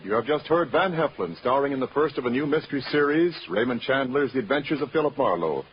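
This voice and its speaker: A man's voice: mid-range voice, lively intonation, quick pace, soft background music. A man reading scripted credits as a 1940s radio announcer, on a muffled old recording.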